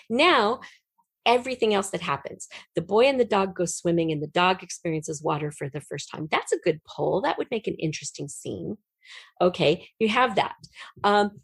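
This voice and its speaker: Female writer as interview guest, slightly low voice, dry recording, conversational storytelling delivery. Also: vocal fry, husky, no echo.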